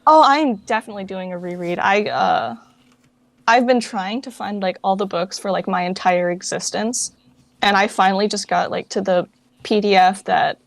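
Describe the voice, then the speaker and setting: A woman's voice: medium pitch, normal speed, creaky, no room sound. A woman chatting informally as an interview guest, peppering her talk with "like". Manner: informal, filler-heavy with frequent "like". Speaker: guest, fan project director. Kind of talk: casual interview chat.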